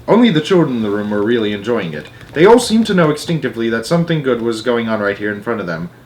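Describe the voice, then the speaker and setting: A man reads a children's story aloud as an amateur narrator. His voice sits at a middling pitch, and he tells it with animated storytelling delivery. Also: fast, rough recording.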